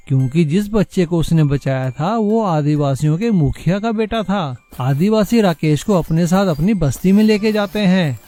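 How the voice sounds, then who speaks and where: A man's voice: high-pitched, mellow. A man reading scripted narration for a moral story. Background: music.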